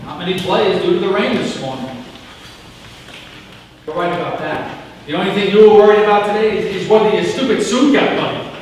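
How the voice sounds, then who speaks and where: A blunt and earnest sports father played by a man on stage. His voice sits high for a man. It rings with heavy echo from far off, over faint noise. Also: fairly quick, pauses often, natural voice. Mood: blunt, needling. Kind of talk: stage play acting. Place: theatre stage, live performance.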